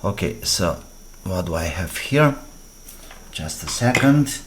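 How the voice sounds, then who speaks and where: Slow-paced, low-voiced man demonstrating a repair. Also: animated. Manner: halting, short phrases, thinking aloud. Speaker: DIY electronics repair presenter. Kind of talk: hands-on repair demonstration, talking while working.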